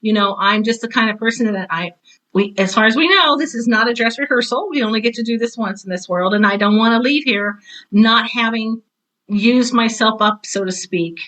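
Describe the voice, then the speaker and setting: A woman's voice: on the high side, normal speed, fairly bright tone, poor audio. A woman in her sixties chatting casually on a podcast, animatedly telling stories and acting out quoted remarks. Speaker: retired teacher and author talking on a podcast. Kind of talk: casual conversational podcast chat.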